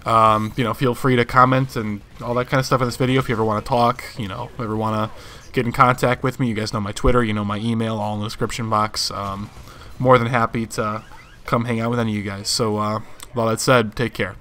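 A hoarse young man in his twenties, rambling casually through a channel update for his viewers. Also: on the low side, fast, a little hiss or hum. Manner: rambling, relaxed, many fillers. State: hoarse from allergies. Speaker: gaming channel host.

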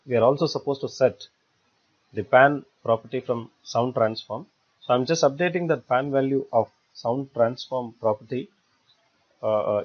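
Man, medium pitch, instructor, step-by-step screencast narration, repetitive halting explanation, dull lo-fi recording. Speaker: software tutorial instructor.

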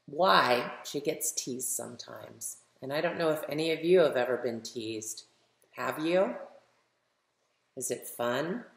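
A middle-aged American woman, a children's author, reads a picture book aloud and speaks directly to young listeners with emphatic, animated delivery. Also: rumbling low. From United States. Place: medium living room at home, indoors. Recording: roomy, echoey home recording.

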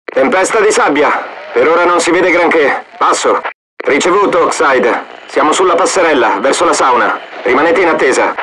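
A mercenary field soldier who reports in briskly over the radio. His voice sits high for a man, with battlefield noise constant behind him. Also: expressive, pushed and bright, poor audio. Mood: terse. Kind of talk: video game voice acting.

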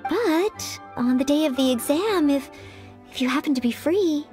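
A woman's voice: high voice, animated, moderate pace, a little mellow, faint music behind. A woman voicing an earnest, anxious young maid who dreams of knighthood in a video game, speaking politely and hesitantly. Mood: hesitant, modest. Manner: polite, hesitant, self-doubting.

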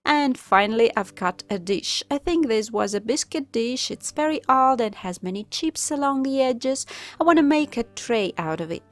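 A woman calmly narrating a step-by-step craft tutorial as the channel host, in voice-over. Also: on the high side, normal speed. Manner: calm, instructive. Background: soft background music.

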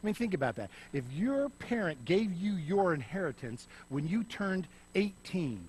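A middle-aged man preaching on a church stage, swinging between loud emphasis and soft, hushed passages. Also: high-pitched, very animated, moderate pace, slight background noise, low-quality recording. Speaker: pastor. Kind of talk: sermon. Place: church stage, in front of a lit backdrop.

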